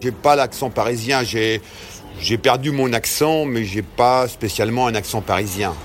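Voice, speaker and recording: A man's voice: medium pitch, normal speed, faint music behind. A man from Normandy, a cheesemonger, talking casually and hesitantly with many restarts. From Perche, Normandy. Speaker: cheesemonger interviewee. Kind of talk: casual spoken testimony.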